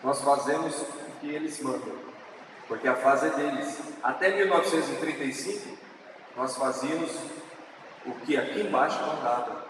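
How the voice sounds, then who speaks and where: A man with a São Paulo countryside accent, giving an earnest, preaching public speech at an assembly event. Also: medium pitch. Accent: São Paulo countryside Brazilian Portuguese. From interior of São Paulo state, Brazil. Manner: earnest, preaching, slow with drawn-out emphatic words. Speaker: speaker at a legislative assembly event. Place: large assembly hall. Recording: echoey hall, distant mic, noisy.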